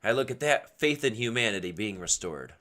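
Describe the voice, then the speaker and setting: A man hosting a casual, opinionated solo podcast in an emphatic way, asking himself rhetorical questions, his voice strained and partly lost from yelling. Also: on the low side, expressive, fairly quick. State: losing his voice. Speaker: podcast host.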